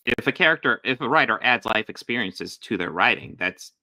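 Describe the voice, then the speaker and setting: Gravelly, bright-toned man in casual commentary. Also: medium pitch, lively intonation, brisk, poor audio. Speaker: podcast co-host.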